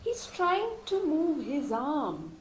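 A woman acting in an audio drama as an eager, excitable apprentice who reacts haltingly to a covert exercise. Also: sits high, exaggerated voice. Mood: straining.

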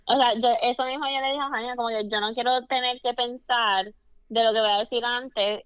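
A woman co-hosting a podcast, lively and switching freely between Spanish and English. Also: a little high, a little fast, clear, resonant tone, dry recording, dull audio. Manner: lively, code-switching, frequent backchannels. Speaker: podcast co-host. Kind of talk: casual podcast chat.

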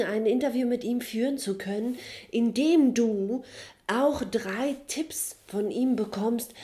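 A woman's voice: on the high side, warm tone. A woman hosting a podcast, friendly and informal, with many fillers and short agreeing sounds. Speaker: podcast host, hypnosis coach and tea master. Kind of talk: podcast host intro and interview.

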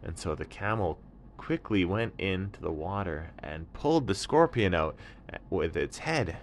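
A man's voice: low-pitched, animated, unhurried, a little hiss or hum. A man telling an improvised children's bedtime story, narrating in simple, explanatory phrases.

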